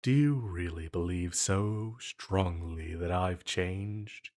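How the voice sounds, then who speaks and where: A man's voice: sits low, darkish tone. A man playing an ancient banished villain, elegant and menacing yet fond, in a scripted intimate audio roleplay with formal, theatrical phrasing. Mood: curious, teasing. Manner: slow, deliberate, theatrical, formal phrasing with pauses.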